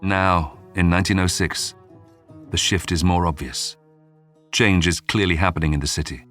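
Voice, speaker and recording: A man reading scripted true-crime narration in a measured, dramatic way with suspenseful pauses. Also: deep voice, fairly even intonation, fairly bright tone. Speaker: documentary narrator. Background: background music.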